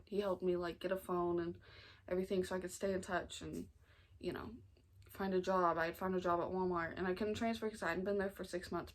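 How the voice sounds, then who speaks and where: A young American woman of about nineteen, talking informally and earnestly in a halting way, as in a personal storytime vlog. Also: lowish voice. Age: 19, nearly 20. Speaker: family vlogger. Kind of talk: personal storytime vlog, casual confessional talk.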